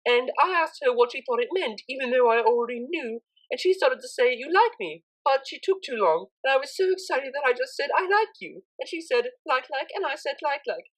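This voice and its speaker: A woman voicing a refined young female pony student, polite, earnest and excitable, in an audiobook story. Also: high voice, a little fast, few pauses, pitched above the performer's own voice, rough recording. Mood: excited, gushing.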